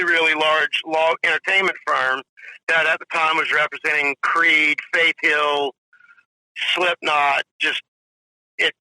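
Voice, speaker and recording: A man's voice: on the high side, sharp tone, dry recording, low-quality recording. A man in his forties, a drummer guest telling rambling stories in a casual interview, full of "you know" and "if you will". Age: 40s.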